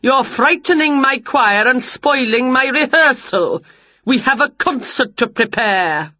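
A woman's voice: a little high, animated, slow pace, a bit bright, no echo, muffled recording, voice pushed up, full character voice. A woman voicing a fussy, weary, worried male choir conductor in a children's storybook, flustered and hesitant. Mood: stern.

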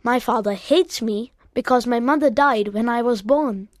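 A woman's voice: fairly high pitch, normal speed, no room sound, clean studio sound, voice pushed up, close to an everyday voice. A woman voicing a sickly, lonely, demanding ten-year-old boy in a storybook reading, with a self-pitying manner.

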